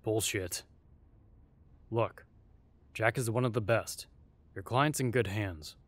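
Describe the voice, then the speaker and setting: A man's voice: fairly low pitch, takes it slowly, no room sound. A man playing a blunt, sardonic, needling male ally in an audio roleplay drama. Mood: blunt, challenging.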